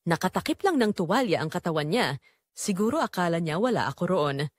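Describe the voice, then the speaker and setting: A woman's voice: lowish voice, big pitch swings, fairly quick, no echo, studio quality. A woman with a Filipino accent reading a scripted first-person story as the narrator of an audio drama.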